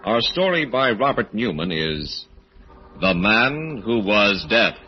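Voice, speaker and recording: An actor playing an ominous radio horror announcer and narrator, grave and dramatic, in 1940s style. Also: male voice, on the low side, big pitch swings, slow pace. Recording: muffled old radio recording, music behind.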